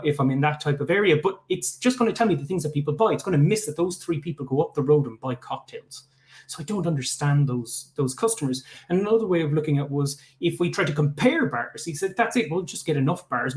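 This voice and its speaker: A man with an Irish accent presenting his startup on a video call, talking conversationally with frequent filler sounds and restarts. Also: medium pitch. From Ireland.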